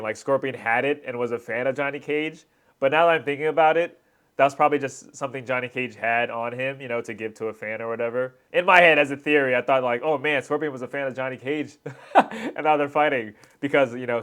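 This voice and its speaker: A man's voice: medium pitch, fairly bright tone, clear, resonant tone. A man hosting a reaction video, giving casual, slangy fan commentary on fight scenes. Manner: informal slangy fan talk.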